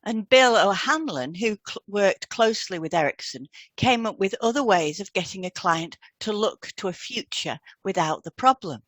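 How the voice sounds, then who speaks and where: Female podcast co-host, mid-pitched voice, scripted teaching delivery, explanatory, dry recording. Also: lively intonation, normal speed, few pauses, dry recording. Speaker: podcast co-host and hypnotherapy trainer. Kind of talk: scripted educational podcast dialogue, read aloud.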